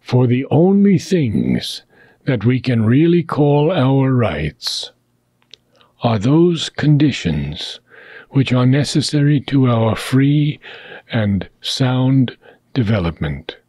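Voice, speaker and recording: Man reading a philosophy text, very slow, soft husky tone, measured pauses, dry close sound. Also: mid-range voice, big pitch swings, no room sound. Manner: measured phrasing with long pauses between short phrases. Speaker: reader of a philosophy book. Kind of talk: slow, deliberate reading aloud.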